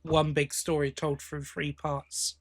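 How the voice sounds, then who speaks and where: A man's voice: fairly high pitch, monotone, slow. A man co-hosting a podcast in casual chat, giving his opinions informally and bluntly. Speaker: podcast co-host.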